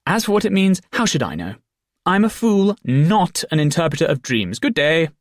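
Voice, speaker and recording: A man voicing a strange, cryptic male court fool in a high piping voice, chanting and enunciating elaborately. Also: big pitch swings, normal speed, no room sound, clean studio sound. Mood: exasperated, arch.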